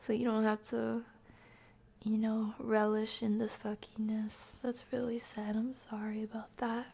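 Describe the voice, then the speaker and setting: Higher-pitched creaky-voiced woman, casual streamer. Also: monotone, measured pace, dark tone. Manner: informal, playful, slangy. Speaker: game streamer hosting a live stream. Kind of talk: casual chat with live viewers.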